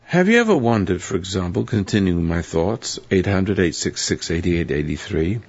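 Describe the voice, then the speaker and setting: A hoarse man hosting a live call-in radio show, rambling and preachy with a sardonic edge. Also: sits low, big pitch swings, normal speed. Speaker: radio talk show host. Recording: dull lo-fi radio broadcast.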